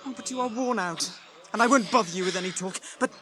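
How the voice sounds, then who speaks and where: An actor playing Pippin, an eager young hobbit who fusses kindly and anxiously over a friend. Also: male voice, sits high, fast talker, crowd noise, lightly acted. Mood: concerned. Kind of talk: 1980s radio drama acting.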